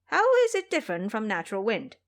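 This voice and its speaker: A woman voicing a bold, cocky male monkey hero with a brisk, teasing manner in an audio drama. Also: mid-range voice, huge pitch swings. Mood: scornful, teasing.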